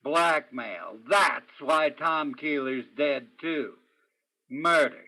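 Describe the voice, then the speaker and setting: A man's voice: on the high side, extremely slow, bright, forceful tone, dry recording, heavily acted, rough recording. A man playing a persistent, world-weary hard-boiled detective in a radio crime drama. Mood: accusing, insistent. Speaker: stage actor in a radio play. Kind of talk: old-time radio crime drama acting.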